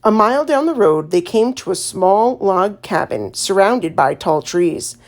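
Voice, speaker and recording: A woman reading a children's story aloud as an audiobook narrator, in an expressive storytelling manner. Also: medium pitch, unhurried.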